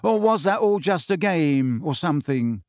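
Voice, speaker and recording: A man voicing an earnest, quietly determined teenage boy in an audiobook, speaking plainly and sincerely. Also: fairly high pitch, sing-song, normal speed, dry recording, clean studio sound, pitched above the performer's own voice. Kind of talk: audiobook character voice.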